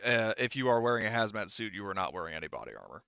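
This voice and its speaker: A man working as game master, casually explaining scenes in a halting, improvised way. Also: lowish voice, sing-song, creaky, sharp tone, clear, resonant tone, no echo, dull audio. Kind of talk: improvised tabletop game narration.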